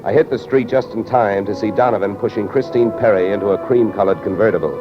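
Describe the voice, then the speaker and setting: Deep-voiced wisecracking radio detective, played by an actor. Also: male voice, quick pace, natural voice. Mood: wry narration. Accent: American. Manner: wry, clipped, sardonic. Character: wisecracking hard-boiled male insurance investigator. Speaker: lead actor and narrator. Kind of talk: 1940s-50s radio detective acting. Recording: dull old radio recording with music.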